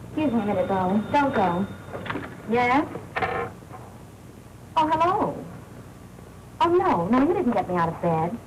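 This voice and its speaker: Coy 1940s femme fatale. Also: female voice, fairly high pitch, big pitch swings, measured pace, pauses often. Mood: coy, sweet. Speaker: film actress. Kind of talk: 1940s crime film acting. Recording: noisy 1940s film soundtrack.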